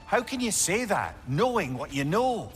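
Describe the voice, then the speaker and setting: An actor playing a witty, avuncular old sage in a video game, speaking with a Scottish accent in a wry, counselling, conversational way. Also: male voice, sits high, big pitch swings, moderate pace, music in the background. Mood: concerned. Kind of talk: video game dramatic acting.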